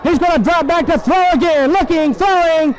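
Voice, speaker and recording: A man's voice: extremely high pitch, normal speed, crowd noise, noisy background. A man calls live football play-by-play on a 1970s radio broadcast, in clipped phrases full of names and numbers.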